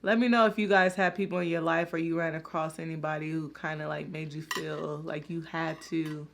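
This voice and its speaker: A woman's voice: deep voice, fairly even intonation, brisk, vocal fry. A woman hosting a casual reaction video, talking straight to her viewers and filling her speech with "like" and "you know". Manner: chatty, many fillers like "like" and "you know".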